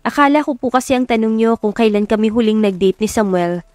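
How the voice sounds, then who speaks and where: A woman's voice: on the high side, a little fast, a little mellow, no echo, lightly acted. A proud young heroine played by a woman narrator in an audio love story. She is impulsive, polite to elders yet feisty underneath. The recording is close and dry. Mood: nervous, polite.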